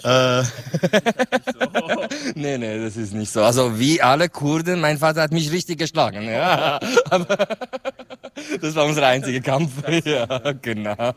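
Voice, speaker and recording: A man's voice: medium pitch, measured pace, long pauses, fairly bright tone. Hesitant Kurdish-born man in conversation. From Rojhelat, Kurdish region of Iran. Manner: hesitant, many fillers and restarts, laughs often. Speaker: artist guest. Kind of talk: conversational interview answers.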